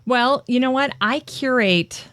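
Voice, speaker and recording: A woman's voice: sits high, unhurried, no echo. A woman with a Minnesotan accent hosting a podcast, speaking enthusiastically and praising her guest. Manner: warm, enthusiastic, gushing praise. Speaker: podcast host. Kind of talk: podcast intro and interview.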